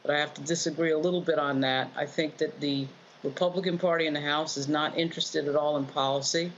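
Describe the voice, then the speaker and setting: Female panelist, low voice, conversational commentary, slightly muffled sound with faint noise. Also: fairly even intonation, fast. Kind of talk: unscripted political commentary. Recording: dull lo-fi audio with background noise.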